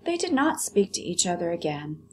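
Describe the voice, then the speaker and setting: A woman with an American accent, a teacher explaining words and reading a story aloud with clear phrasing for children. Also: on the low side, sing-song, moderate pace, darkish tone, slight background noise. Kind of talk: vocabulary lesson and expressive story read-aloud.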